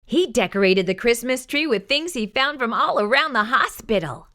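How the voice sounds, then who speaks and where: A woman hosting a children's storytime, reading a picture book aloud in an expressive, sing-song way. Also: a little high, normal speed.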